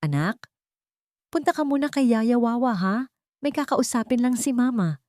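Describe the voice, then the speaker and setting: A woman voicing a gentle, dutiful young mother who speaks softly and politely in a narrated story. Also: on the high side, lively intonation, moderate pace, dry recording, clean studio sound, pitched above the performer's own voice, natural voice.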